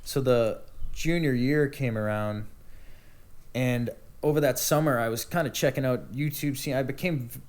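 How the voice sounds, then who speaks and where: A man's voice: medium pitch, moderate pace, darkish tone. A young man in casual podcast chat, laid-back and rambling, swearing freely as he tells his story. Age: young adult. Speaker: podcast guest.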